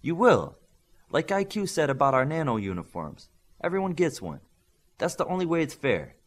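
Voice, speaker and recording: A man's voice: a little high, big pitch swings, moderate pace, pitched above the performer's own voice. A man voicing a firm, serious young superheroine who leads her team, in an audiobook.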